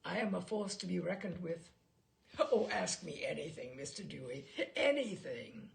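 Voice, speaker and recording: A woman's voice: fairly low pitch, lively intonation, moderate pace, creaky. Woman playing a pompous, precise male expert, swooping delivery. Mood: boastful, emphatic. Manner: precise, self-satisfied, declamatory. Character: pompous, fussy male cliché expert witness, proudly precise. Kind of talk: humorous short story read aloud.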